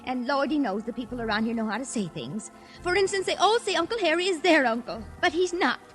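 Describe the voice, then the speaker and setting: A woman's voice: high voice, expressive, quick pace, a bit bright, faint music behind. An actress playing a fussy, insistent, anxious American countrywoman reading out a letter in 1940s radio style. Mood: anxious, pleading. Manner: fussy, emphatic.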